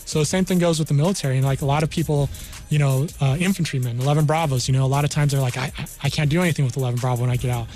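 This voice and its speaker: A man's voice: medium pitch, racing, a little mellow, faint music behind. An American man in his thirties from New Mexico, explaining things informally as a guest career counselor in a conversational way. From New Mexico, United States. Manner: conversational, explains with hypothetical examples.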